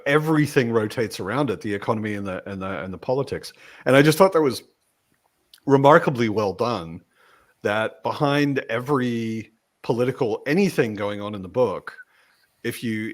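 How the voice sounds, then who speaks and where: A man's voice: fairly low pitch, normal speed. A man in a casual book discussion, explaining his points conversationally and often adding "you know.".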